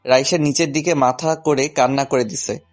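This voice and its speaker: A man's voice: medium pitch, steady tone, moderate pace, soft background music. A man narrating a scripted romantic story in the first person, as its male lead.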